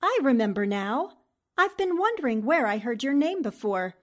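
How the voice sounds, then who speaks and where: A woman playing a sharp-witted, skeptical newspaper reporter in a 1940s-style radio drama, with a no-nonsense manner. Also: sits high, big pitch swings, normal speed.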